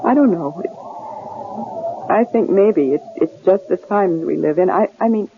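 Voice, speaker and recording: A woman's voice: lowish voice, creaky, narrow, tinny audio. A woman playing an anxious, introspective wife in an old-time radio drama, speaking in a hesitant, earnest way. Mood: halting confession. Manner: hesitant, earnest, halting delivery. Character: anxious, introspective young wife held captive, wanting independence. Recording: hissy, band-limited old radio drama recording.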